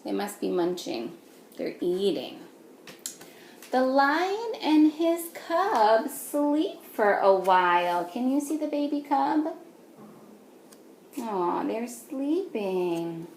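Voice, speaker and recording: A woman teacher reading a picture book aloud to young children, patiently, in a sing-song way, pausing to ask them simple questions. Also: on the high side, sing-song, darkish tone. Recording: echoey room with faint noise.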